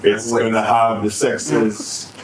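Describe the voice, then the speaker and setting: A man co-hosting a podcast, needling the panel with teasing questions. His mid-pitched voice sounds roomy and a bit back from the mic, with faint noise underneath. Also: completely flat delivery, slow pace, distant mic. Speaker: podcast co-host. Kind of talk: casual panel chat.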